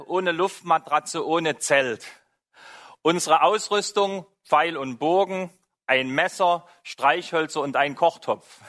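A man's voice: fairly high pitch, fairly bright tone. Saxon-accented middle-aged male preacher. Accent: Saxon German. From Saxony, Germany. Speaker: missionary preacher. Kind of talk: sermon.

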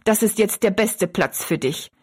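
A woman's voice: mid-range voice, expressive, measured pace, slightly rough. A woman voicing a harsh, contemptuous stepmother in an audiobook story, stern and cutting. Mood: cold, stern. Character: cold, scornful stepmother, harsh mistress of the house.

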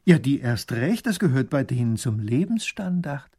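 A man voicing an obsequious ship captain who flatters with flowery courtly phrases. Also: medium pitch, moderate pace, breathy edge. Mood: fawning.

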